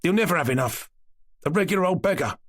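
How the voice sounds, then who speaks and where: A man's voice: sits high, animated, fast, no room sound, clean studio sound, pitched above the performer's own voice. A man voicing a cheeky young male servant in an audiobook, with sly, mocking asides. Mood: sneering. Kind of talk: audiobook character voice.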